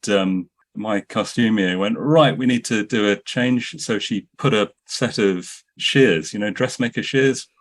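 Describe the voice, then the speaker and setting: Male filmmaker, lowish voice, anecdotal interview delivery with plenty of false starts.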